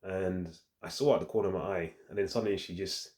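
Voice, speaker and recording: A man with a deep voice talks casually to his phone in a rambling, halting vlog, thinking aloud as he goes. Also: fairly even intonation, a little mellow. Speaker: fitness vlogger. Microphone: handheld phone.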